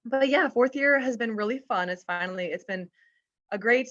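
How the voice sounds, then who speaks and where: A woman's voice: fairly high pitch, moderate pace, fairly bright tone. A young woman from the Chicago suburbs, a veterinary student, chatting casually and upbeat with lots of fillers on a video call. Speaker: fourth-year veterinary student. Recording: muffled video-call audio.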